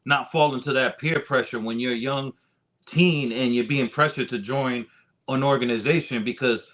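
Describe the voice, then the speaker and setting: An American man with a medium-pitched voice hosts a relaxed, informal interview. He is encouraging and tends to say a phrase over again to stress it. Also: normal speed. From United States, Rhode Island and San Diego. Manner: encouraging, repeats his phrases for emphasis. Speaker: interview host. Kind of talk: casual interview chat.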